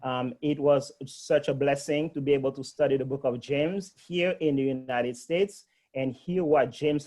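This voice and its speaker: A man's voice: mid-range voice, darkish tone. A middle-aged man from Haiti, a priest, earnestly thanking a church outreach team, with many ums and uhs.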